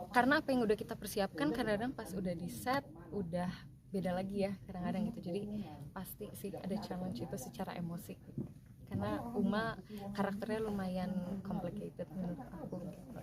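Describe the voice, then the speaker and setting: Relaxed actress at a noisy press event, mid-range pitch, easy chatty delivery. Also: female voice. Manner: chatty and relaxed, frequent "eh" and "em" fillers. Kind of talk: casual press interview. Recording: loud crowd noise behind.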